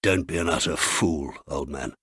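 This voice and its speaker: A man's voice: extremely low pitch, normal speed, a bit bright, slightly rough, no room sound, studio quality, pitched below the performer's own voice, full character voice. A man voicing an irritable, hard-drinking sailing man with a British accent in a story reading. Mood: low warning.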